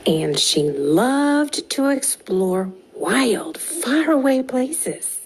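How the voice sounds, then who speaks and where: A woman reading a picture book aloud to young children in an expressive, deliberate way with dramatic pauses. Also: high voice. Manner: slow, deliberate, animated storytelling with dramatic pauses. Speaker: storytime reader for young children. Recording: clearly audible background noise.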